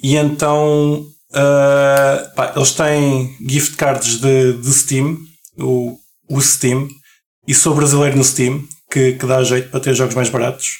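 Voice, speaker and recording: A man with a European Portuguese accent co-hosting a podcast in casual chat, relaxed and talkative, often restarting words. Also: medium pitch, slow pace. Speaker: podcast co-host.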